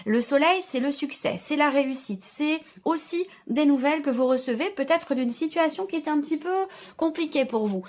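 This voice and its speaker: A woman with a fairly high voice hosts a tarot reading. She talks informally to her viewers, thinking aloud and often rephrasing as she interprets each card. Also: moderate pace, a little mellow. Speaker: tarot reader and channel host.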